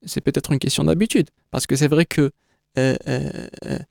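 A man's voice: fairly high pitch, fairly even intonation, normal speed, vocal fry, darkish tone, no echo, clean studio sound. A man whose first language is Kabyle, an exhibition creator giving a radio interview, speaking hesitantly with many fillers and restarts. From North Africa (Kabyle).

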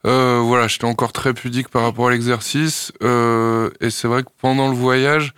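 A young man from France, a singer-songwriter, answering radio interview questions in a thoughtful, hesitant way with many pauses and fillers. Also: medium pitch, flat delivery, fairly bright tone, smooth, no room sound, clean studio sound.

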